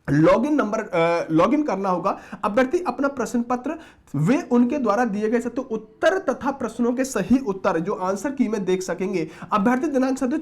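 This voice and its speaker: A man's voice: high voice, a little mellow. A man giving an informal exam update as a teacher, reading out a notice and often addressing viewers as friends. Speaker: exam-prep teacher and presenter.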